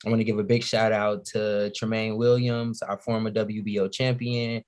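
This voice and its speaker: A man's voice: on the low side, little pitch movement, normal speed. A man from Chicago with an American accent chatting casually and slangily as a podcast guest. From Chicago, USA. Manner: slangy, keeps giving shout-outs.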